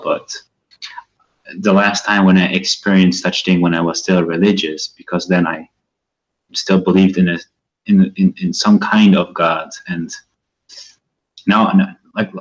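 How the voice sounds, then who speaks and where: A man's voice: low-pitched, fairly even intonation, normal speed. A man whose first language is Dutch, calling in to a show and speaking sincerely, with some hesitation. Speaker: caller. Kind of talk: phone-in conversation. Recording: dull lo-fi call audio.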